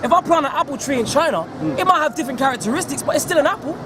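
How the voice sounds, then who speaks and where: A man's voice: very high voice, lively intonation, rapid-fire. A man with a London accent arguing with rhetorical questions, calling people "bro.". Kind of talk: street preaching discussion. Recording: crowd and street noise.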